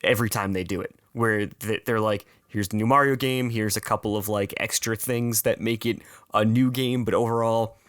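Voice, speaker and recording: A man with a raspy voice, chatting casually as a podcast co-host and repeating words as he thinks aloud. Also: lowish voice, steady tone, moderate pace, hardly any pauses, a bit bright, no room sound, clean studio sound. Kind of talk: casual chat.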